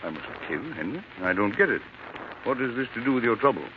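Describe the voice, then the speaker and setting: An American actor playing a friendly, puzzled doctor who questions in an avuncular, conversational way, in 1940s radio drama style. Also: male voice, mid-range voice, expressive, fast, natural voice. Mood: puzzled, reassuring. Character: Doctor Fenwick, friendly, puzzled male family doctor. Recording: muffled, noisy 1940s radio recording.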